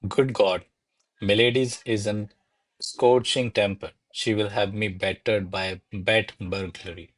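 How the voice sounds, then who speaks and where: An actor playing courtly men of a classical comedy in a radio play, with formal, florid theatrical delivery. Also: male voice, fairly low pitch, fairly even intonation, measured pace, no room sound, low-quality recording. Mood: flustered. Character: courtly servants and grandiloquent noble suitor of classical Spanish comedy. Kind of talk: stage-style radio play acting.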